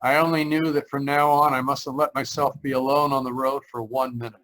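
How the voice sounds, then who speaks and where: A man's voice: medium pitch, little pitch movement, fairly quick, a bit bright, close to an everyday voice, poor audio. An actor playing a haunted, anxious driver narrating his road trip in old-time radio style, tense and earnest. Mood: shaken. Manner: tense, earnest, dramatic storytelling. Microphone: video call. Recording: live video-call performance.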